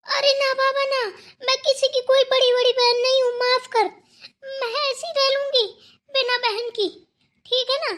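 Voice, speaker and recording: Woman voicing a very high-pitched, haughty sister-in-law. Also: flat delivery, measured pace, a bit bright. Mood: indignant, mocking. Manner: scolding, sarcastic, dismissive. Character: haughty, quarrelsome elder sister-in-law in village household. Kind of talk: acted dialogue in Hindi family cartoon.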